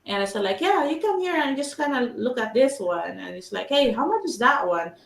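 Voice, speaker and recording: A woman's voice: fairly high pitch, sing-song, brisk, vocal fry, distant mic. Filipino woman chatting in an echoey room. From Philippines. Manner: chatty, broken English phrasing. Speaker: guest in a group chat. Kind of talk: casual chat.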